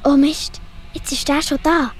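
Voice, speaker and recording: A woman's voice: sits high, little pitch movement, measured pace. A woman with a Swiss German accent playing a friendly, earnest young animal girl in a children's audio play. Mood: worried, hesitant.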